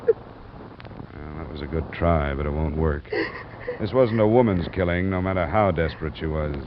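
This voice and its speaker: Very deep-voiced hardboiled radio investigator. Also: male voice, normal speed, a little hiss or hum. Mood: firm, probing. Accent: American. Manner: clipped, dry, hardboiled. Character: tough, world-weary male insurance investigator, terse and unsentimental. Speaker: lead actor in a radio detective drama. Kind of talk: 1940s-50s American radio acting, hardboiled narration and dialogue. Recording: muffled vintage radio recording, music behind.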